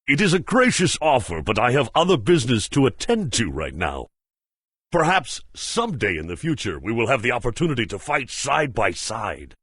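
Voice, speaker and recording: Bane, a gruff, husky mercenary warrior. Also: male voice, mid-range voice, big pitch swings, moderate pace, fairly bright tone, no room sound. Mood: proud, cordial. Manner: formal, self-assured archaic speech. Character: hearty, proud axe-wielding mercenary warrior. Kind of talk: fantasy game character acting.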